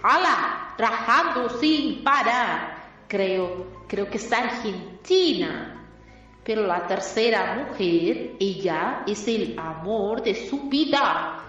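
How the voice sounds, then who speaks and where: A woman's voice: medium pitch, lively intonation, a bit bright, lo-fi sound. A woman playing a mystical fortune-teller with solemn, dramatic delivery in a parody radio soap. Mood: solemn, prophetic. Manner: slow, portentous, dramatic delivery. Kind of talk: absurd parody radio soap opera acting. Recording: heavily echoing, distant, music behind.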